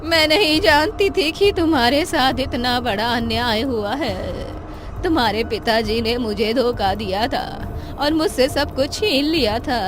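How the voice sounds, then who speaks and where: A woman's voice: high-pitched, a little slow. A woman voicing a trembling old mother. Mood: remorseful. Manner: trembling, stammering pleas. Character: frightened old village mother, remorseful and pleading. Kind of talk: animated horror story voice acting. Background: music.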